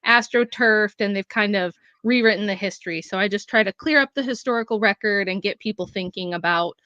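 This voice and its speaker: A woman in her forties, a guest writer and researcher, talking in a friendly, quick way with frequent laughs. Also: mid-range voice, sharp tone, dry recording, lo-fi sound. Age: 40s. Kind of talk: casual interview.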